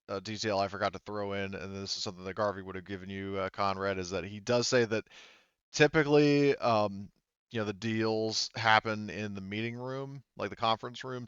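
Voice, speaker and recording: A man's voice: lowish voice, a bit bright, clean tone, dry recording, muffled recording. A man running a tabletop roleplaying game, explaining details to his players in a clarifying way. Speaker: game master.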